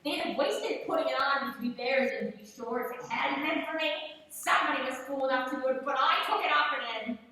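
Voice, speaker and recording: Woman playing a brazen thief, high and echoing. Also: brisk, sharp tone. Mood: defiant. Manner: defiant, gloating, emphatic. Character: brazen, scornful working-class female thief selling plunder. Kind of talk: live radio play acting. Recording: echoey room, distant microphone.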